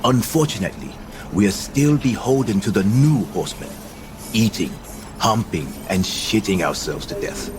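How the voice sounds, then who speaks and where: An actor playing a cynical, world-weary visionary leader in a science fiction audio drama, theatrical and dismissive. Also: male voice, fairly low pitch, expressive, moderate pace, background noise, close to an everyday voice. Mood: sardonic.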